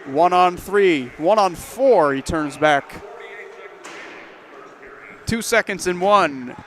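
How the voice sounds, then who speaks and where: High-pitched male hockey play-by-play caller. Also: animated, fairly bright tone. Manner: brisk calls that lift in pitch on rushes toward the net. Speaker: play-by-play commentator. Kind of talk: live hockey play-by-play commentary.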